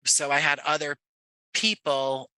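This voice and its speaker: A man with a strikingly bright, sharp voice, recorded close and dry. He talks as a guest in a conversational interview, thinking aloud and rephrasing as he goes. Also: a little high, fairly even intonation, a little slow, clear, resonant tone, no echo, rough recording. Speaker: interview guest, actor and audio drama creator.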